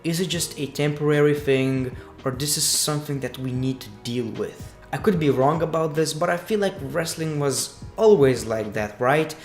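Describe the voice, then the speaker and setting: A man's voice: on the high side, moderate pace, hardly any pauses. A man from Lithuania with a Lithuanian accent, giving casual, opinionated wrestling commentary in a blunt, crudely joking way. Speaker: wrestling news vlogger. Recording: echoey room with music underneath.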